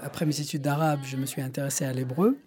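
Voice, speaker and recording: A woman's voice: extremely low pitch, steady tone, quick pace, music in the background. A woman Arabic teacher talking reflectively about her experience and saying single words. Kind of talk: reflective personal account and single-word recitation.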